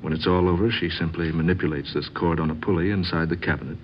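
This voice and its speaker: An actor playing a sceptical, aggrieved husband, formal and deliberate in an old-time radio drama manner. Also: male voice, sits low, fairly even intonation, close to an everyday voice. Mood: smug, explaining. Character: sceptical, aggrieved husband, stiff and coldly insistent. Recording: muffled, faintly noisy old radio recording.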